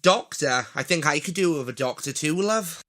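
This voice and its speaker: A man's voice: on the high side, expressive, normal speed, fairly bright tone. A man playing a camp, cheeky TV chat-show host in an audio drama, with an effusive showbiz delivery. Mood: bewildered.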